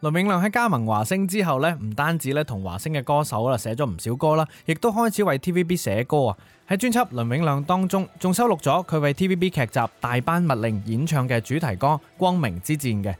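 A man's voice: a little high, very animated, quick pace. A man co-hosting a radio music show, presenting songs and their history in a conversational way.

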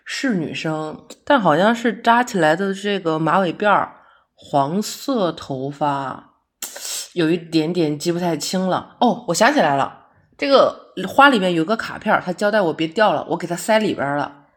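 一位女演员在广播剧里饰演闪送女快递员，说话口语化、直来直去，热心又有点较真。. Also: female voice, on the low side, expressive, normal speed, lightly acted. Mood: earnest, chatty. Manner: colloquial, blunt, good-natured. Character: chatty, straightforward female delivery courier, earnest and quirky. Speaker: courier in an audio drama. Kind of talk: naturalistic modern audio drama dialogue.

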